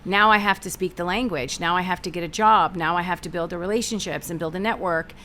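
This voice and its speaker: A middle-aged American woman, a guest in a talk-show interview, telling stories in an energetic, animated way. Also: on the low side, quick pace, slight background noise. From United States. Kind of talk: conversational interview.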